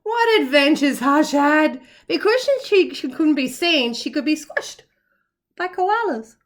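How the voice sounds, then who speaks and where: A woman reading a picture book to young children in an animated, expressive way, with playful asides. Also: high voice, slow pace. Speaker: children's story reader, kindergarten teacher.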